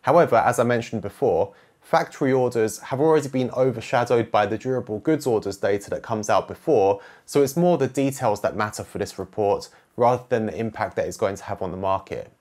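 A young adult man presenting a scripted finance explainer to camera from a small home studio, measured and steady in his explaining. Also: on the low side. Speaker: finance educator presenting a video series.

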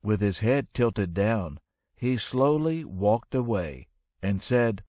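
Slow, animated male storybook narrator. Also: fairly low pitch, no echo, studio quality. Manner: expressive, measured storytelling. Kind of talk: rhyming children's read-aloud narration.

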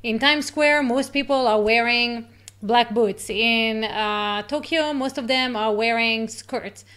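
A woman's voice: fairly high pitch, a bit bright, clear, resonant tone. A woman in her thirties whose first language is not English, hosting a live interview over a video call, asking questions conversationally. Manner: conversational, quick asides to viewers. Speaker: host. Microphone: video call webcam.

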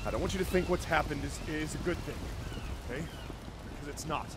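An actor playing a protective, authoritative young sheriff, firm and insistent, in a video game cutscene. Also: male voice, fairly high pitch, quick pace, noisy background, natural voice. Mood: stern, worried. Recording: loud game music and effects behind.

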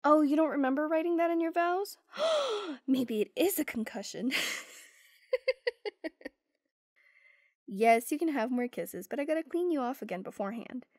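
Female actor for affectionate, sarcastic young girlfriend in ASMR roleplay; lightly high pitch, playful teasing, dry close recording. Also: sing-song, brisk, no echo.

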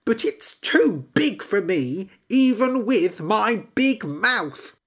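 A woman voicing a curious, picky little male frog who talks brightly. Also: medium pitch, very animated, takes it slowly, pitched above the performer's own voice, heavily acted. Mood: wondering.

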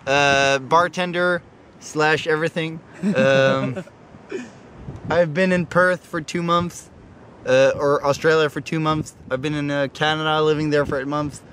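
A man's voice: a little high, fairly even intonation, measured pace, a bit bright. A man in his twenties from Sweden chatting casually in a hesitant, joking way. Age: 20s. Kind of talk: casual chat. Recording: faint outdoor wind and vehicle noise.

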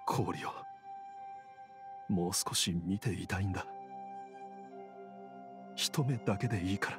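An actor playing a weary, self-sacrificing man in an anime game, speaking in a subdued, heartfelt, halting way. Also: low-pitched. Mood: pleading, sorrowful. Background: music.

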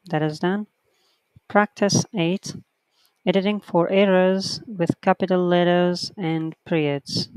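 A writing teacher giving an online lesson, reading textbook sentences aloud slowly and counting the corrections one by one. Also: mid-range voice, steady tone, a little mellow, clean tone, dry recording, low-quality recording.

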